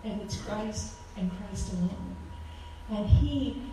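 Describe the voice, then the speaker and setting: A woman in her forties with a loud voice, giving a fervent talk as a guest speaker at a church, with frequent ums. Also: medium pitch, slow pace, background noise. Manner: earnest, fervent, with many ums. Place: church hall. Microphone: handheld microphone. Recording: echoey hall from far off.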